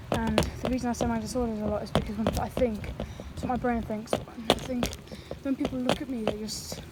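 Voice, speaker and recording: A woman's voice: a little high, very noisy. A young woman in casual chat, talking into her phone outdoors while biking and walking, rambling and apologetic with many fillers, sometimes out of breath. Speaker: vlogger. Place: outdoors in the countryside. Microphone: phone held or carried.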